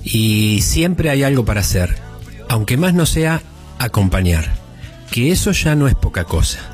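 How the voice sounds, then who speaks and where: A man's voice: medium pitch, measured pace, soft background music. A middle-aged man with an Argentine accent, a doctor patiently explaining medical matters on a talk show. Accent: Argentine Spanish. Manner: calm, didactic, explains at length. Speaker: doctor guest. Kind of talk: explanatory radio chat.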